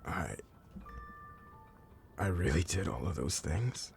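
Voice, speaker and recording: An actor playing a haunted old pirate full of remorse, halting and hushed, in a cinematic audio drama. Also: male voice, animated, faint music behind, heavily acted. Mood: haunted, remorseful. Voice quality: low, gravelly. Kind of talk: cinematic audio roleplay acting.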